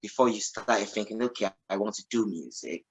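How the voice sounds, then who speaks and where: A man's voice: fairly low pitch, lively intonation, brisk, breathy edge. A man hosting a radio interview, encouraging his guest and asking long, rambling questions full of fillers. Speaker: radio host. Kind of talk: casual interview chat.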